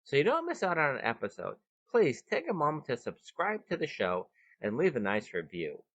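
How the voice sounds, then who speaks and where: A man's voice: a little high, huge pitch swings, moderate pace, a bit bright, dry recording, muffled recording. A man hosting and narrating a scripted short story podcast with dramatic, emphatic delivery, recorded outdoors on a veranda. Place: veranda of a bed and breakfast, outdoors.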